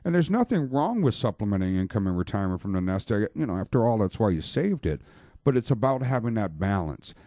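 A man's voice: lowish voice, sing-song, quick pace, warm tone, no echo, clean studio sound. A man hosting a radio talk show about money, chatty and joking, with lots of fillers. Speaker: radio show host, financial planner. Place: radio studio. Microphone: studio microphone.

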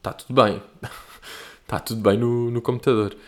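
A man's voice: mid-range voice, steady tone, normal speed. Rambling young Portuguese man, slangy chat. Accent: European Portuguese. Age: young adult. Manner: rambling, slangy, self-mocking. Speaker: comedian host answering listener questions. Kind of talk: casual monologue.

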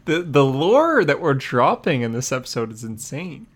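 A man with an average-pitched voice hosts a podcast. He banters casually and playfully. His delivery is tongue-in-cheek and conversational. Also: sing-song, moderate pace. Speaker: podcast host. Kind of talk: casual joking banter about comics.

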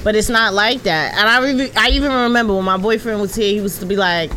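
A woman's voice: medium pitch, quick pace, pushed and bright, a little hiss or hum. A woman in her late twenties talking candidly and emphatically as a podcast co-host in casual conversation. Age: late 20s. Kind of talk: candid casual panel chat.

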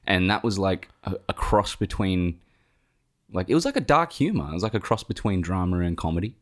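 A man's voice: sits low, very animated, fairly quick. A young man co-hosting a podcast, chatting casually about a film, rambling with lots of fillers and casual swearing. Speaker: podcast co-host. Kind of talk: casual film review chat.

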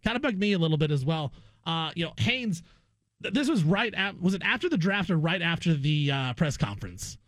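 A man's voice: fairly high pitch, big pitch swings, fairly quick, a bit bright, no echo. A man hosting a sports talk show, giving opinionated, emphatic commentary and often posing rhetorical questions.

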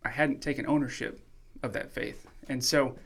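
A man who grew up in Mexico, a podcast guest calmly telling his faith story in a relaxed, reflective way. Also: mid-range voice, normal speed, husky. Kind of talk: casual testimony sharing.

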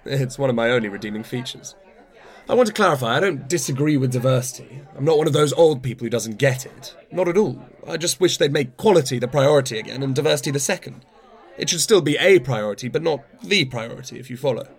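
An actor playing a self-absorbed older playwright in a radio play, garrulous, wry and opinionated, in naturalistic dialogue. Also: male voice, mid-range voice, expressive, fast talker, slightly rough. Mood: opinionated, patronising. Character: self-absorbed older male playwright, rambling, grumbling, patronising.

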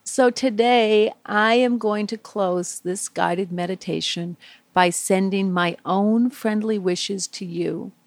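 A woman leading a guided visualization for young children, speaking in simple, soothing phrases with gentle pauses. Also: mid-range voice, no echo.

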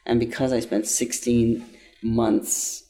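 Older male guest, gravelly and mellow, earnest, halting. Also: mid-range voice, level tone, soft background music. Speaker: interview guest, nonprofit founder. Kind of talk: interview answers, personal account.

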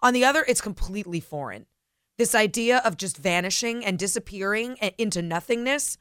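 Smooth-voiced woman co-hosting talk radio. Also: medium pitch, animated, a bit bright, dry recording, studio quality. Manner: conversational, quick agreeing interjections. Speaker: radio talk show co-host. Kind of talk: casual talk radio discussion. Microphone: studio microphone.

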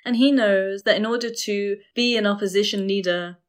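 A woman's voice: mid-range voice, steady tone, normal speed. A woman in a casual discussion, talking in an explanatory, opinionated way. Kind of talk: casual discussion of books and current affairs.

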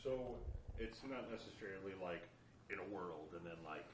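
Deep-voiced man telling a story. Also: lively intonation, moderate pace. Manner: laid-back, rambling, many fillers. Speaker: aspiring voice-over artist. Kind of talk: casual storytelling chat. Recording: roomy, distant mic, faint noise.

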